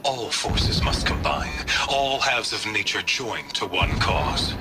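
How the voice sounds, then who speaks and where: An actor playing a ruthless, zealous warrior in a solemn, measured and intense dramatic monologue for a video game. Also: male voice, lowish voice, animated, gravelly, very noisy, heavily acted. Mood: fervent, solemn. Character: zealous, ruthless warrior fanatic, male, brooding and self-exalting. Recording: loud music bed behind the voice.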